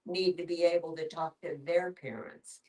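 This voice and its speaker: Deep-voiced older woman, distant mic. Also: steady tone, moderate pace, a little mellow. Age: 60s or older. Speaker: discussion participant, grandmother. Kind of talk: casual personal sharing.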